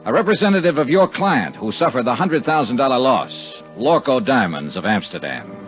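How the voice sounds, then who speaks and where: An actor playing a wry, sardonic American investigator with a deadpan, clipped delivery in a 1950s radio detective drama. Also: male voice, medium pitch, moderate pace, soft background music, lightly acted. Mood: dry, wry. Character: wry, hard-boiled male American insurance investigator. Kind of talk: 1950s radio detective drama acting, with first-person narration. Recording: dull vintage 1950s radio recording.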